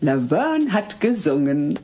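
A woman's voice: deep voice, sing-song, slow, mellow, slight background noise, exaggerated voice. A woman playing an expectant mother and gracious hostess, theatrical, in a German-dubbed sitcom radio play. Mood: knowing.

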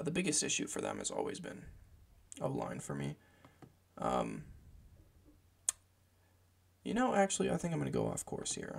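A young man with a gravelly voice, hoarse from a cold, giving casual football draft commentary from a small room at home, rambling with lots of fillers. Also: medium pitch. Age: young adult. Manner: meandering, filler-heavy, self-correcting.

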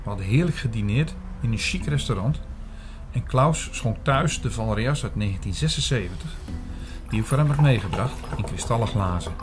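Man, medium-pitched voice, scripted literary narration, first-person storyteller, radio drama, soft music behind.

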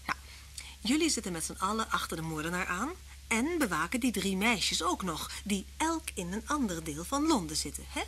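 Earnest detective's wife. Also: female voice, medium pitch, sing-song, moderate pace, a bit bright. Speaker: actress in a radio play. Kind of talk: vintage Dutch radio drama acting. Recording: slightly noisy old radio recording.

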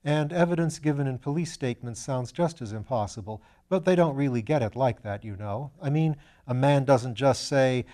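A man's voice: medium pitch, moderate pace, hardly any pauses, dark tone, lightly acted. A man reading a storybook as a matter-of-fact police detective, explaining plainly and reeling off detail.

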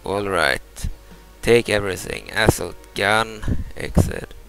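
A man in his thirties from Sweden, a native Swedish speaker, giving casual commentary over a video game, halting and restarting, explaining each move. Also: fairly low pitch, vocal fry, fairly bright tone, soft background music, low-quality recording. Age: 30s. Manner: halting, repeats words and restarts, explains moves step by step. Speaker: gameplay commentator. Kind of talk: casual let's-play commentary.

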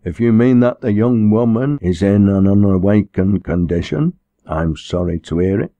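A man's voice: lowish voice, animated, moderate pace, mellow, no room sound, lo-fi sound. A man voicing a serene, self-assured parish minister in an audiobook, formal and sermonizing. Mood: pious. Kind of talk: audiobook character dialogue.

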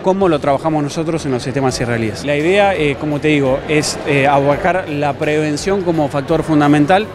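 A man's voice: on the high side, fairly quick, hardly any pauses, background noise. A man in his thirties with an Argentine accent, a self-defense instructor giving spontaneous, explanatory interview answers into a handheld microphone in a gym. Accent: Argentine Spanish. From La Plata, Argentina. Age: 30s. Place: large busy gym hall, indoors. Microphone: handheld reporter microphone.

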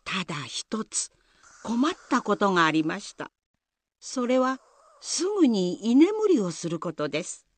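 A woman's voice: medium pitch, big pitch swings, unhurried. A woman narrator reading a scripted Japanese folktale in the style of a radio drama. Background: music.

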